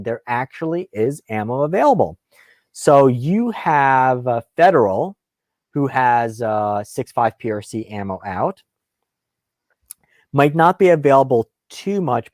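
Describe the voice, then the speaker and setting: Male live stream host, native Russian speaker, rambling casual chat, mid-pitched, dry recording. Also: no echo. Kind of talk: casual Q&A chat about guns.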